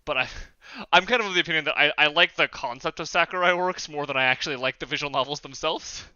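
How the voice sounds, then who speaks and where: Casual male podcast panelist, very bright clear voice, wry and opinionated, slightly dull dry audio. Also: fairly high pitch, fast talker, no echo.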